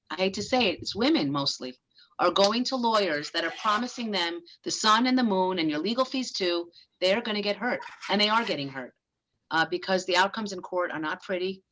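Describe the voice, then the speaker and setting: A woman family lawyer, giving a frank, brisk presentation to business owners. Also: mid-range voice, a bit bright, rough recording.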